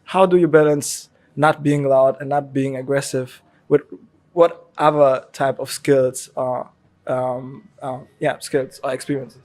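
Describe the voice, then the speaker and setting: A man interviewing a guest, asking questions in a halting way with restarts. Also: mid-range voice, steady tone, a little mellow. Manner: halting, stumbles over questions.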